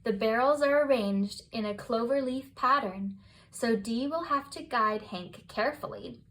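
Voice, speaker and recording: A woman reading a children's picture book aloud as scripted bedtime narration, with expressive, deliberate phrasing. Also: a little high. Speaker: children's storybook reader.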